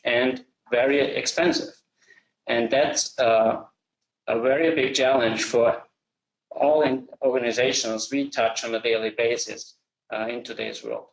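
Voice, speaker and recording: A middle-aged man from Austria speaks English with an Austrian German accent. He gives a measured, steady business presentation at a middle pitch. The sound is roomy and echoey. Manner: measured, slows to stress key phrases. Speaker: company founder presenting.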